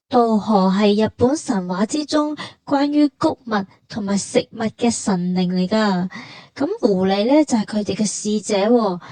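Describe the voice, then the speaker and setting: A woman's voice: mid-range voice, warm tone, dry recording. A woman with a Hong Kong Cantonese accent hosting a travel vlog in a conversational, explanatory way, as scripted dialogue and narration.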